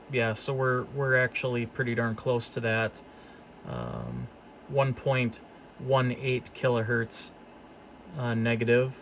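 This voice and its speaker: Guy doing a casual gear demo, talks slow n kinda hesitant, medium pitch, bit of noise behind him. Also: a bit bright. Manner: hesitant, thinking aloud. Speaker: host, hobbyist repair technician demonstrating equipment. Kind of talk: casual unscripted equipment demonstration. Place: service workshop, indoors.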